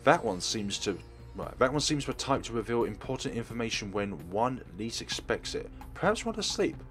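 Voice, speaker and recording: A man's voice: medium pitch, big pitch swings, a little fast, a bit bright, faint music behind. A man reading the lines of a terse, aloof young man in his own plain voice.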